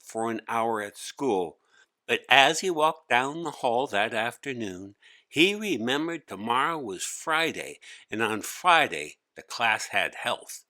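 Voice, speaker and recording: A man reads a children's story as its narrator. His mid-pitched voice is bright and forceful, and he takes the scripted lines at a slow, measured pace. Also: no echo. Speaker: author-narrator.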